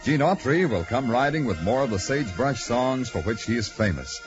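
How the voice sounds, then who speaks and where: A man's voice: medium pitch, animated, normal speed. A man announcing in the style of 1940s network radio. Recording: muffled vintage radio, music behind.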